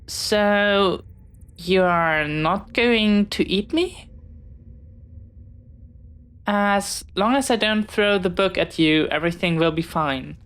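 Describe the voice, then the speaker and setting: Slow-paced, earnest young pirate played by a woman. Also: medium pitch, clean tone, lightly acted. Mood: relieved, sheepish. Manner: dramatic, halting, swinging from theatrical threats to sheepish chatter. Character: young pirate captain's child, bookish, dreamy, jumpy but earnest. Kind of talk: scripted audio roleplay addressed to the listener.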